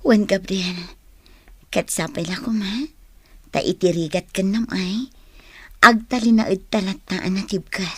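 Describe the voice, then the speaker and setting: A woman acting a gentle, dutiful young wife in an Ilocano radio drama, with a breathy voice. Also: mid-range voice, steady tone, measured pace, long pauses, dry recording, studio quality. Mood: tender.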